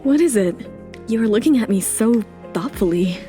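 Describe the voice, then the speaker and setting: Woman as a high-voiced, self-assured young model. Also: animated, moderate pace, mellow, faint music behind, close to an everyday voice. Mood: gentle, firm.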